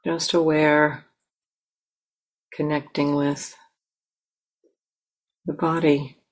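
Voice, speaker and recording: A woman meditation teacher leading a guided meditation in a gentle, unhurried manner with long pauses. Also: deep voice, flat delivery.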